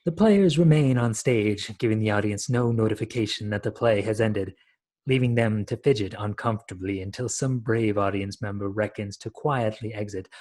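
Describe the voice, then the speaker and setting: A man's voice: on the low side, darkish tone. A man in his thirties reading scripted stage directions as the narrator of a radio play, over a video call from a room at home.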